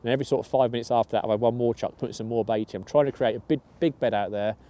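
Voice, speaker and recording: A man's voice: mid-range voice, fairly even intonation, very fast, dark tone. A young man casually talking through his fishing bait outdoors by a lake, upbeat and chatty, restarting phrases now and then. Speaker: match angler. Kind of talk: fishing tutorial.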